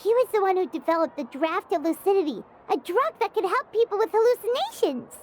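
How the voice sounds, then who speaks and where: Childlike female fairy sidekick, very high squeak, animated swings, excitable, video game. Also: brisk, faint music behind. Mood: curious, surprised. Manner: bubbly, refers to herself by name. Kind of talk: English video game character acting.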